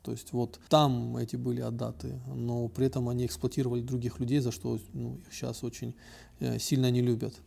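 A man from North Ossetia speaks as a guest on a podcast, with a gravelly, mellow voice. He talks in a reflective way and often hesitates with drawn-out fillers while he searches for words. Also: medium pitch, monotone. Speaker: podcast guest, blogger and activist. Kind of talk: casual discussion.

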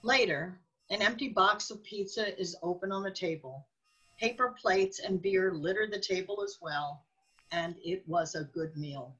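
A woman's voice: fairly low pitch, unhurried, a bit bright, breathy edge, dull audio. A woman reading scripted scene narration as the narrator of a radio drama.

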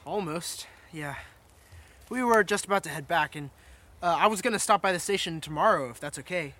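Defiant young man, audio drama, male actor: high, bright, insistent and stubborn, faint music at times. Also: fairly quick. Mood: guarded.

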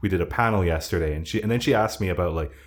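A man with a Dublin Irish accent tells loose, self-deprecating anecdotes on a podcast. His voice sits on the lower side, and he swears freely and acts out what other people said. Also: very fast. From Ireland. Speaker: podcast co-host. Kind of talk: casual storytelling chat.

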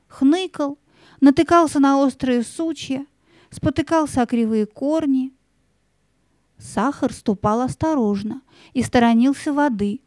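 A woman narrating a fairy-tale audio play in an expressive, theatrical storytelling manner. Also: fairly high pitch, no echo.

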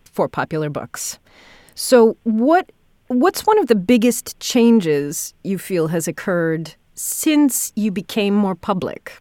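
A woman's voice: medium pitch, sing-song, slow, a little mellow, no room sound, studio quality. A woman hosting a podcast, asking questions in a casual, attentive and encouraging conversational way. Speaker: podcast host, interviewer.

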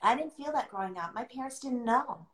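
A middle-aged woman speaking reflectively and conversationally on a livestream, earnest and deliberate, pausing as she chooses her phrases. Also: a little high, lively intonation. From born outside the US, adopted into America. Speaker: podcast host sharing her experience as an adoptive mother. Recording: roomy, echoing sound.

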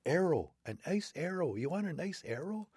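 Folk-tale old wolf chief, sly and coaxing, voice pushed well up high, as a man puts him on. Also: sing-song, normal speed, a little mellow, slightly rough, dry recording, studio quality, full character voice. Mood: teasing. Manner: coaxing, raised pitch. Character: old male wolf leader, friendly and sly.

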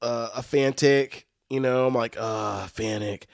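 A man's voice: mid-range voice, steady tone, unhurried, creaky, no echo, clean studio sound. A man hosting a casual podcast, talking in an easygoing, slangy way. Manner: easygoing, slangy, laughs along. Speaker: podcast host.

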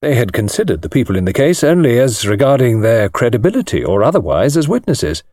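A man's voice: medium pitch, sing-song, fairly quick, a little mellow, no echo, clean studio sound. A man with a British accent reading a mystery novel as scripted narration. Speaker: audiobook narrator.

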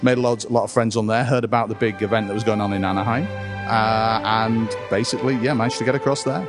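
A man's voice: lowish voice, fairly even intonation, fast talker, music in the background. A man being interviewed as a fan, casually recalling old times. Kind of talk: casual recollection.

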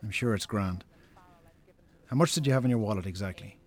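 Husky, chiding Irish man. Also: lowish voice, brisk, a little mellow. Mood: exasperated, concerned. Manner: dry, chiding. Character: exasperated, reproachful brother of a drinker. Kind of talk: naturalistic radio drama acting.

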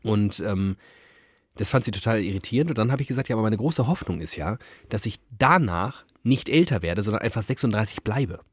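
A man's voice: fairly low pitch, expressive, a little fast, husky, no room sound, clean studio sound. A thirty-year-old man co-hosting a podcast in casual, rambling, self-ironic banter. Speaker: podcast co-host.

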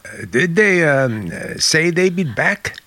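A man acting in a radio play as an evasive, outwardly polite man on the run with secret menace, speaking in a halting, dryly polite way. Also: on the high side, animated, sharp tone, no room sound, exaggerated voice. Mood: guarded, wry.

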